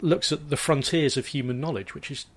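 A man in his fifties co-hosting a podcast, chatting enthusiastically with quick wit. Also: a little high, fairly even intonation, slightly rough. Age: 50s. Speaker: podcast co-host and physics teacher. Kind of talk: casual chat. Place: garden summer house.

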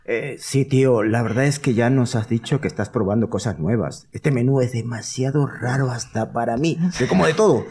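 An actor playing a tactful, easygoing dinner guest in audio fiction, with a husky voice and a conciliatory, colloquial manner. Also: male voice, mid-range voice, normal speed, hardly any pauses, a little mellow, close to an everyday voice. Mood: frank, mild. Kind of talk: live-recorded audio fiction acting.

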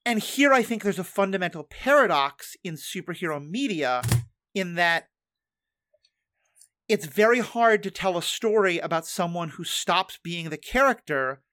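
A podcast host in a conversational interview, talking in animated, quick bursts and restarting sentences while working through ideas. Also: mid-range voice, dry recording. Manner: animated, fast bursts, thinking aloud with restarts.

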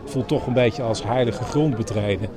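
Low-voiced man reporting casually from a match. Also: moderate pace. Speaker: podcast host. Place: mostly outdoors at a small football ground. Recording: outdoor football crowd, music behind.

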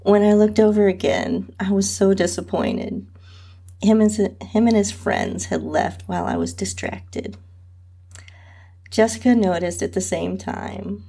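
A woman narrates a first-person story at an unhurried pace. Her voice is husky with some gravelly fry, and her pitch moves in lively swings. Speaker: host reading own story.

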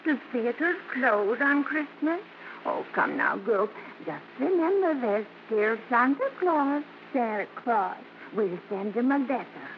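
An actress playing a bossy, warm-hearted old grandmother who scolds in folksy phrases, in old-time radio acting style. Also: fairly high pitch, very animated, a little slow. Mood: soothing, brisk. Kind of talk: 1940s-50s radio acting. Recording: muffled, noisy old radio recording.

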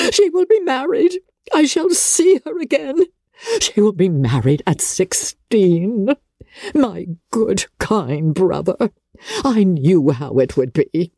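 A woman voicing a giddy, excitable middle-aged mother in a classic-novel audiobook, gushing with fussy, fluttery exclamations. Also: mid-range voice, slow, no room sound, clean studio sound, pitched above the performer's own voice, full character voice. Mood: overjoyed, gushing.

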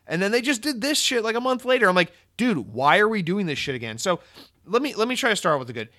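A young man hosting a gaming podcast, talking in a casual, opinionated way, his voice hoarse and tired, blunt and swearing freely. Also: high-pitched, big pitch swings, quick pace, pushed and bright.

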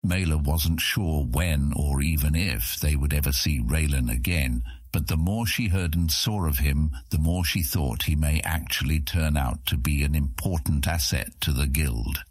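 A man's voice: rumbling low, a little slow, few pauses, slightly rough, dry recording, clean studio sound. A man reading scripted narration for a fantasy audiobook.

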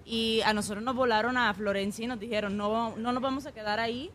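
A woman's voice: fairly high pitch, fast, a little hiss or hum. Woman chef with a mixed Puerto Rican-Mexican accent. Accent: mixed Puerto Rican and Mexican Spanish. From Puerto Rico. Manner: relaxed storytelling, frequent "este" fillers. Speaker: guest chef. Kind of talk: radio interview.

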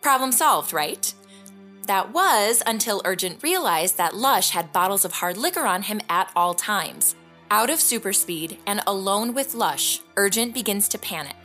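A woman with a medium-pitched voice narrates as podcast host. Her scripted delivery is conversational and turns to rhetorical questions, with music underneath. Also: expressive, measured pace, sharp tone.

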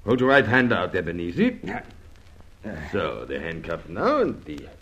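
An actor playing a suave, mock-courteous foreign villain in a radio drama, speaking formally and with polite menace. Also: male voice, low-pitched, wildly swooping pitch, unhurried, a bit bright, lo-fi sound, full character voice. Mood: polite threat. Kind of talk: old-time radio drama acting.

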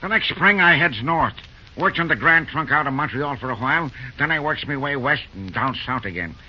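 A man acting in an old-time radio drama as a humble, wistful drifting railroad fireman, with an American accent, folksy rustic grammar and a halting delivery. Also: a little high, lively intonation, bright, forceful tone. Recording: dull, noisy old radio recording.

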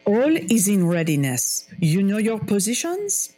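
A woman's voice: mid-range voice, big pitch swings, a little slow, dark tone, faint music behind. A woman playing a composed, formal leader of a secret plot in an audio drama. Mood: commanding.

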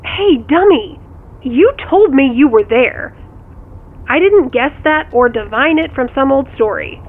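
Actress as high-voiced worried friend on the phone. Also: female voice, lively intonation, measured pace. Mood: exasperated. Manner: blunt, exasperated, concerned. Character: worried, blunt, loyal woman friend on the phone. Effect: phone call filter. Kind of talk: audio drama acting. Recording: muffled phone call, faint car noise.

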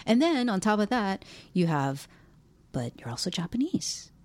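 A woman from Hawaii in casual conversation, reflecting thoughtfully on growing up there and sometimes dropping to a soft whisper. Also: mid-range voice, very animated, moderate pace, dry recording. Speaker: podcast co-host. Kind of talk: casual chat.

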